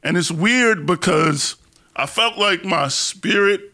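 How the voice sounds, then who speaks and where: A man's voice: high voice, lively intonation, takes it slowly, bright, forceful tone. A man hosting an interview in a casual, candid, slangy way, poking fun at himself and often repeating phrases as he searches for words. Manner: candid, slangy, self-deprecating. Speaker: podcast host interviewing a guest. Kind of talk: casual, personal interview chat.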